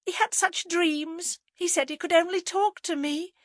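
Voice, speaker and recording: Narrator lady doing a nervy fragile village wife, wistful and fluttery, high swoopy voice. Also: very animated, normal speed, fairly bright tone, dry recording, heavily acted. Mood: tearful, wistful. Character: fragile, wistful, nervous married village woman. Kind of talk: audiobook character acting.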